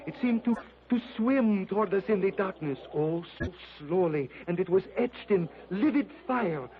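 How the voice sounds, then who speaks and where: An actor playing an excitable French lieutenant with a French accent, in 1930s radio drama style. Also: male voice, high-pitched, normal speed, crowd noise. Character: excitable French lieutenant, middle-aged man. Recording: muffled old radio transcription, faint hiss.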